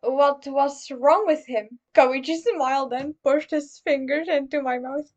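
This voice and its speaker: A woman with a very high voice reads a story aloud at an unhurried pace. Her delivery is dramatic first-person narration, and the recording sounds slightly dull. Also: darkish tone. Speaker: fan fiction reader.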